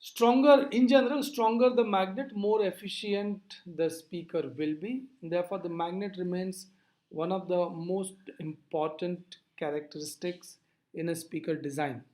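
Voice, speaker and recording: A lecturer explaining physics over slides in a deliberate, didactic way, posing questions and then answering them. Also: medium pitch, fairly even intonation, a little mellow, rough recording.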